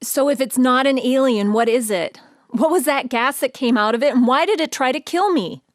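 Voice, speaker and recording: A woman's voice: sits high, normal speed, no echo, studio quality, lightly acted. A woman playing a shaken, skeptical eyewitness in an audio drama, blunt and sardonic. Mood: insistent. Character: shaken, skeptical woman in cat costume, alien witness.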